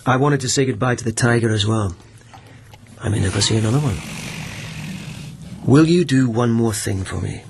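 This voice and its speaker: An actor playing a lovelorn, gentle lieutenant of old Japan in a radio drama, quiet and intimate in manner. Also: male voice, mid-range voice, normal speed, gravelly, long pauses, breathy edge. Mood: tender, longing.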